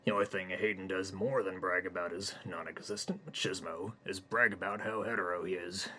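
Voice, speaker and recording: A man reading his own comic story aloud in a deadpan, rambling narration. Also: deep voice, fairly quick, a little hiss or hum.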